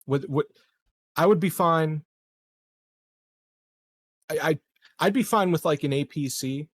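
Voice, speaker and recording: A man's voice: a little high, slow pace, dry recording. A man chatting casually as a player in a tabletop roleplaying game, negotiating with the game master.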